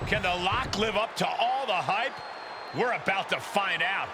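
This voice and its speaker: An actor playing a dramatic wrestling commentator who builds up the hype emphatically in a video game. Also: male voice, high voice, animated, measured pace, very noisy. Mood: anticipating. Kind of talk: sports commentary.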